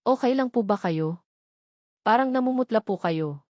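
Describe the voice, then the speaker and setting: A woman voicing a gentle, polite, hesitant young woman in an audio love story, close and dry. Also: fairly high pitch, animated, normal speed, no echo, lightly acted. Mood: polite, concerned.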